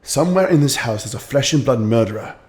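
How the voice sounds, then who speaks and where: A man playing a shrewd, calm detective in a radio play, brisk and commanding with a dry wit, in a roomy room. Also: mid-range voice, close to an everyday voice. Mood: calm, deductive. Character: shrewd calm male detective, middle-aged. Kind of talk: community radio theater acting. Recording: roomy echo.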